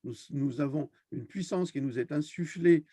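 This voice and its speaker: A man's voice: on the high side, normal speed, warm tone, no echo. A man hosting an online discussion, speaking in an impassioned, scornful rant and repeating phrases for emphasis. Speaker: host of an online video discussion.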